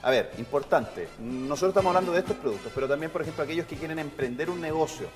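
A man's voice: a little high, very fast. A man in his thirties with a Chilean accent, hosting a morning TV show in an upbeat, chatty way. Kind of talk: lively studio chat. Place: TV studio kitchen set. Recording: studio with long reverb and music behind.

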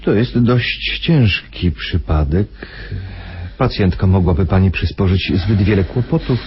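An actor playing a grave, smoothly persuasive husband who speaks haltingly with weighty pauses in a radio play. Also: male voice, low voice, animated, lo-fi sound, a little hiss or hum. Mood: grave, measured.

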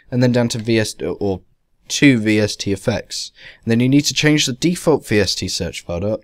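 A man giving an informal software tutorial in a conversational way, with wry, emphatic asides. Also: medium pitch. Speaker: tutorial presenter. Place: voice-over to a screen capture.

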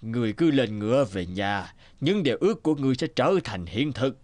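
Man acting a grave, calm wish-granting traveller. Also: medium pitch, fairly quick, no echo, natural voice. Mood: solemn. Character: calm solemn male traveller who grants wishes. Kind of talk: children's fairy-tale cartoon dub.

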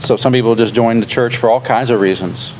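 A man in his thirties, a pastor, leading a relaxed classroom discussion with lots of fillers, recorded in a church classroom by a room camera. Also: medium pitch, brisk, slight background noise, low-quality recording. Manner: relaxed, chatty, frequent fillers and laughs. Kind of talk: casual teaching discussion.

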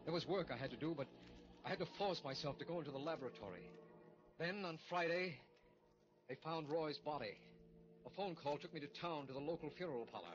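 A man's voice: fairly high pitch, expressive, fairly quick, close to an everyday voice. An actor playing a shaken radar scientist who narrates his ordeal in a somber, haunted manner in a 1950s-style radio drama. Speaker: narrator and lead character. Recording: dull old radio recording with music.

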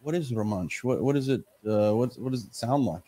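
A man's voice: medium pitch, fairly quick, warm tone. A man in his forties hosting a casual podcast over a video call, asking incredulous, playful questions. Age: 40s. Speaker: podcast host.

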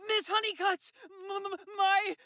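A woman voicing a cheeky, panicky young boy, melodramatic and flippant, in an animated comedy. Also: very high-pitched, measured pace, no room sound, clean studio sound, heavily acted. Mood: panicked. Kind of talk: animated comedy character acting.

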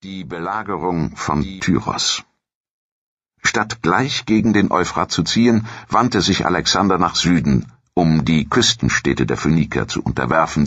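A man reading scripted history narration as a documentary narrator in a measured, formal, authoritative manner. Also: rumbling low, a bit bright, slightly rough, no room sound, dull audio.

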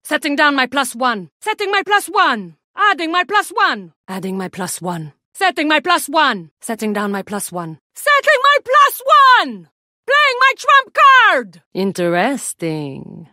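An actress playing a sly, confident female special forces soldier in a video game, giving crisp callouts. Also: high voice, very animated, unhurried, pushed and bright, dry recording, studio quality, full character voice. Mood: excited, triumphant.

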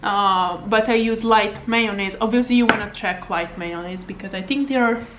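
A woman in her thirties with a Hungarian accent talks to a camera on her table in a living room, rambling in a casual, chatty way. Her voice sits at an ordinary middle pitch, and a faint hum of room noise stays under her words. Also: moderate pace, dull audio. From Slovakia. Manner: rambling, chatty, frequent laughs and fillers. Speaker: fitness vlogger and coach. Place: home living room, indoors. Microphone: camera on table at arm's length.

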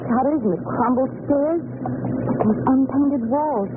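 A woman's voice: high voice, unhurried. A woman playing an uneasy but game young society woman in a 1930s radio drama. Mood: uneasy, wry. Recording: scratchy, tinny 1930s radio recording.

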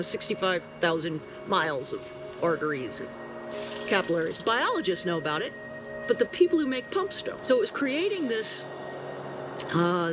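A woman in her sixties, interviewed outdoors in a forest, explaining ideas in a thoughtful, measured way with shifting pace. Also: mid-range voice. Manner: thoughtful, explanatory, varied pacing. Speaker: co-founder of a nature-inspired design institute. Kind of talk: documentary interview. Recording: interview under music bed. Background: soft music.

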